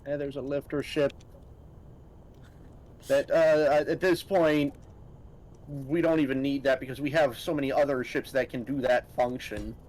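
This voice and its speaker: A man's voice: mid-range voice, steady tone, measured pace, long pauses, a little mellow, low-quality recording. A man chatting in an easygoing, wry way as he plays a co-op game with friends. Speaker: co-player. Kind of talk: casual gaming chat.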